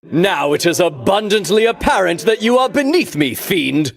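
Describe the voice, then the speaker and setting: A man's voice: high voice, lively intonation, normal speed, vocal fry, soft background music. An actor playing a pompous, vainglorious Greek champion in a video game, disdainful of his foes, speaking in grandiloquent, archaic phrases with theatrical delivery. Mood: haughty, taunting.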